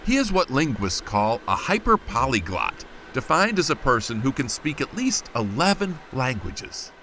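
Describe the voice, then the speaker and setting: A man narrates a news feature story. His pitch moves around a lot, and his delivery stays scripted and story-like. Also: sing-song, moderate pace, pushed and bright, a little hiss or hum. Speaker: news correspondent.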